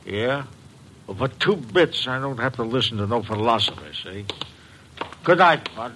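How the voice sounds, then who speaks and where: A man's voice: medium pitch, big pitch swings, normal speed, lightly acted. An actor playing a brash, truculent male panhandler with slangy, streetwise speech in an old-time radio drama. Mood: surly.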